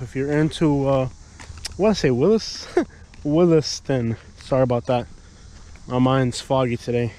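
A man in his thirties vlogging casually outdoors, chatting and thinking out loud with lots of fillers, filmed on a handheld camera. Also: medium pitch, slight background noise. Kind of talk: casual walking vlog. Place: outdoors at an empty lot, open air. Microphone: handheld action camera at arm's length.

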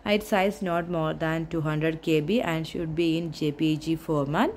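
A woman walks through a recruitment notice, reading each rule in turn in a steady, methodical way. Her voice sits a little low for a woman. A faint noise stays under her speech. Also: measured pace. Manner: methodical, reads the notice aloud point by point with small restarts. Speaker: job notification explainer.

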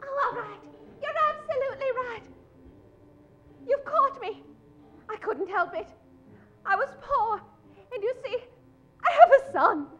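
A woman's voice: very high voice, fairly bright tone, highs cut off. A woman playing a scheming, defiant murderess in an overwrought old-time radio parody. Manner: overwrought, theatrical. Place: small theater stage.

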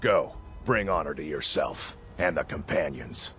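An actor voicing a burly, plain-spoken warrior in a video game, blunt and commanding but brotherly. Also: male voice, a bass voice, expressive, measured pace, over loud music, exaggerated voice.

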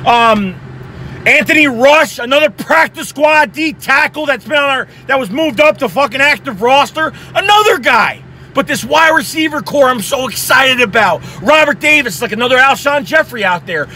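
Hoarse, high-pitched ranting young man. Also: a little hiss or hum. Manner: excited, profane, rapid-fire ranting. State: hoarse from yelling. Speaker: sports fan vlogger. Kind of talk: heated post-game fan rant. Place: inside a car at night.

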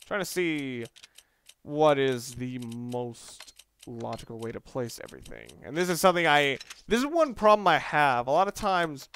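A man's voice: medium pitch, expressive, moderate pace, a bit bright, clean tone. A man giving casual video game commentary, rambling along and thinking aloud as he plays. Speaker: let's play host.

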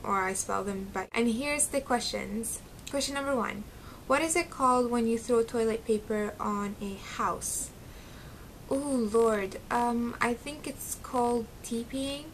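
A chatty young woman with a slight Arabic accent, her voice sitting a little high. She talks straight into a close webcam in a small bedroom, giggly and full of ums. A faint low rumble hums underneath. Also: fairly bright tone, low-quality recording. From Syria. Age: young adult. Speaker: beauty vlogger. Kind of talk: casual chat to camera. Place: small bedroom at home, indoors. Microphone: close webcam, seated facing camera.

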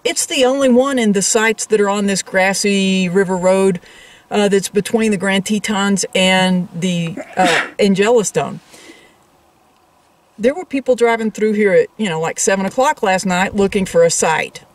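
A woman's voice: mid-range voice, normal speed, far from the mic. A woman co-hosting a travel vlog, explaining things in casual, conversational talk addressed straight to viewers. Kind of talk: casual explanatory chat to camera.

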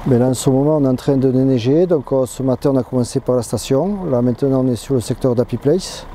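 A middle-aged man with a very dark, soft-edged voice at medium pitch gives short, casual answers. He is outdoors, with faint ambient noise. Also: quick pace. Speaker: ski station worker. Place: outdoors at a snowy ski lift station.

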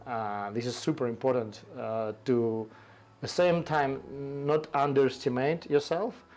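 A man in his forties with a Russian accent, speaking English as a second language in a halting, casual conversation on an outdoor balcony. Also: mid-range voice, fairly even intonation, darkish tone, soft background music. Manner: halting, restarts often, non-native grammar. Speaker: tea company host. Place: covered balcony outdoors, forest behind.

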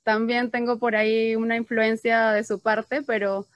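A woman in her thirties with a Peruvian Spanish accent touched by Cuban influence, talking softly as a guest on a video call, with many fillers and repeats. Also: fairly high pitch, level tone, measured pace, no room sound. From Peru. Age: 30s. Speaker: interview guest. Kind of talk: casual video-call conversation. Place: outdoors under a patio umbrella. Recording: dull, dry video-call audio.

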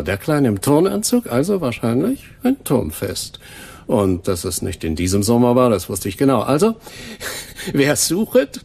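An actor playing a chatty, self-satisfied newspaper archivist in a German radio crime drama, jovial and rambling. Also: male voice, mid-range voice, gravelly, darkish tone, slightly rough, full character voice.

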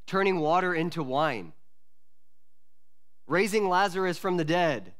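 A man in his forties, a pastor, preaching an expository sermon from a lectern mic in a church, earnest and measured with pauses and restarts. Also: high-pitched, expressive, a bit bright. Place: church sanctuary at a wooden lectern. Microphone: lectern microphone.

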